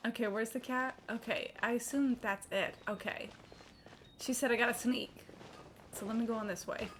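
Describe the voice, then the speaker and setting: A woman live-streaming a game with casual, expressive, sweary chatter. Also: fairly high pitch, normal speed, slight background noise. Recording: stream with faint game music behind. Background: game music.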